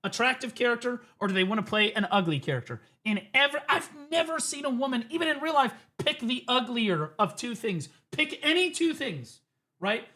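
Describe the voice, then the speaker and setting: A man's voice: extremely high pitch, lively intonation, normal speed, pushed and bright. A man streaming, reacting to clips with emphatic, profane, rambling commentary. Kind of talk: casual ranting commentary.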